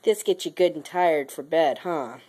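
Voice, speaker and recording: A young mother, sick and speaking lower than usual, talking to her baby in a playful, sing-song way while filming in the nursery on a handheld camera. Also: normal speed, warm tone, breathy edge. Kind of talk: home video baby talk. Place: baby's nursery, indoors.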